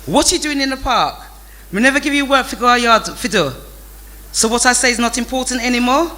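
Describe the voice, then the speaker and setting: Man voicing a stern, high-pitched Jamaican father. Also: a little fast, pushed and bright. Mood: indignant. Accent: Jamaican Patois. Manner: indignant, emphatic scolding.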